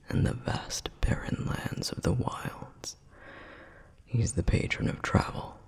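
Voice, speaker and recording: A man voicing a protective, duty-bound male flame demon in an ASMR roleplay, telling lore in a soft, breathy whisper. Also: fairly low pitch, exaggerated voice.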